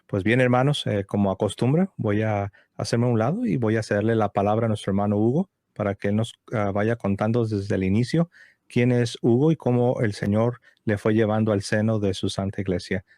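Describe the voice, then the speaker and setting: A man hosting a religious testimony program, introducing and questioning a guest in a measured, courteous way with hesitant fillers. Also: on the low side, dark tone, no echo, studio quality. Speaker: interviewer host.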